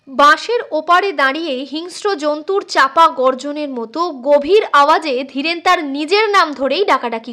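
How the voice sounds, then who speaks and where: A woman with a high, bright voice narrates a literary tale. She reads as a scripted audiobook storyteller, with music playing behind her.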